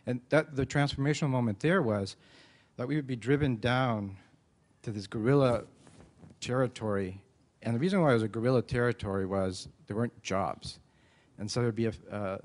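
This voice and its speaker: Plainspoken man from Ecuador telling his story. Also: mid-range voice. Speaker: conference speaker. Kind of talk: personal conference talk.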